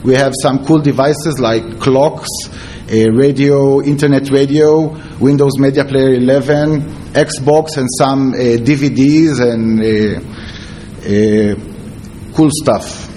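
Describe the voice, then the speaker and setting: A man with an Israeli accent giving a conference presentation as a security researcher, in halting, non-fluent English. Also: mid-range voice, steady tone, warm tone, slight background noise. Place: conference talk before an audience.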